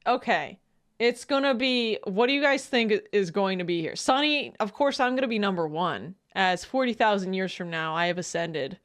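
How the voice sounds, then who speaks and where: A woman streamer reacting to a video in casual, joking commentary, excitable and swearing freely. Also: mid-range voice, moderate pace.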